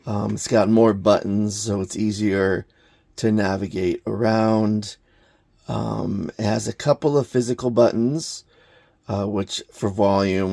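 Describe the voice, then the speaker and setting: A man giving an unscripted hands-on gadget review in a halting, plain-spoken, matter-of-fact way. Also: lowish voice, vocal fry, warm tone, no echo. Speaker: product reviewer, hobbyist maker.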